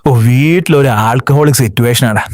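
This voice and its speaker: A man's voice: mid-range voice, a little fast, darkish tone, dry recording, clean studio sound, close to an everyday voice. A young man from Kerala voicing a lazy, jobless cartoon slacker with cocky, sulky comebacks. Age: young adult.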